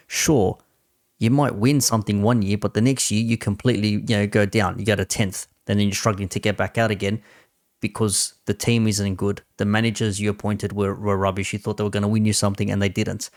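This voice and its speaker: A man's voice: lowish voice, level tone, fairly quick, no room sound, clean studio sound. A man commentating on football off the cuff as a host, with a sarcastic, repetitive and rhetorical way of making his points. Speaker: host giving football opinion.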